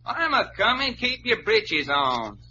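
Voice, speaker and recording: An actor playing a folksy, hot-blooded adventurer with a big appetite and a Southern drawl, in old-time radio drama style. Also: male voice, high-pitched, wildly swooping pitch, takes it slowly, heavily acted. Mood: grumbling. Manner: folksy drawl full of colorful slang. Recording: muffled, hissy old radio recording.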